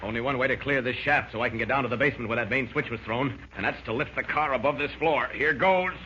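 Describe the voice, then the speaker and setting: An actor playing a heroic, resolute superhero, urgently thinking aloud in 1940s radio serial style. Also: male voice, medium pitch, quick pace, bright, forceful tone, close to an everyday voice. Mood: urgent, determined. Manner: dramatic, urgent self-narration. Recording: noisy, dull old radio recording.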